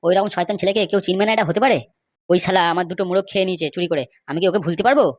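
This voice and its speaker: A woman's voice: fairly low pitch, very fast, darkish tone, no room sound, clean studio sound, lightly acted. A woman playing an aggrieved, scheming male villager in a comedy cartoon, complaining in an emphatic, gossipy way. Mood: indignant. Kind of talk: amateur comedy cartoon dialogue.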